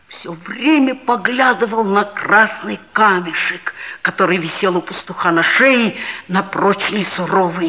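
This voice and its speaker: An actor playing an earnest, self-assured schoolboy, a geologist's son, who narrates and talks in a measured way in a 1960s radio play. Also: mid-range voice, phone-quality sound, lightly acted. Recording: band-limited 1960s radio play recording.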